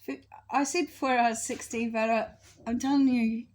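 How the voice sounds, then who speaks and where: Woman, 50s, high-pitched, casual rambling vlogger who loses the thread. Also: fairly even intonation, normal speed, a little mellow, slight background noise. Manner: rambling, loses her thread and corrects herself. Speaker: astrology vlogger. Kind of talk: casual spoken monologue to camera.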